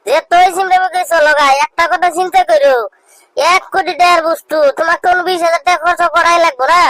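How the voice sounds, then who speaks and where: Woman acting high, rapid young cartoon schemer. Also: steady tone, a bit bright, no room sound, poor audio. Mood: pushy. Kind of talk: cartoon comedy dialogue. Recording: some background noise.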